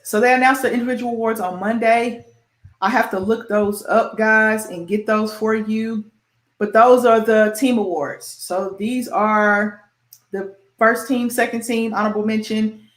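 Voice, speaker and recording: Chatty Midwestern woman in an echoey room. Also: mid-range voice. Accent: American Midwest. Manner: self-deprecating, chatty, sounds out names haltingly. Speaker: sports show host. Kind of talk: casual sports show presenting, reading award lists.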